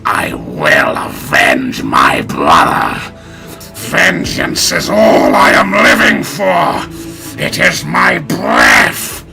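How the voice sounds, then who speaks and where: A man acting a vengeful, mad young prince in a story reading, with melodramatic, drawn-out raving. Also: rumbling low, big pitch swings, vocal fry, over music, voice pushed down, exaggerated voice. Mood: vengeful fury.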